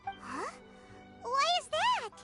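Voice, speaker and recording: A woman voicing a tiny, excitable, blustering childlike fairy girl in an anime video game. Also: very high-pitched, extremely slow, heavily acted. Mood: surprised. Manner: speaks of herself in third person, exclamatory. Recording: music behind the voice.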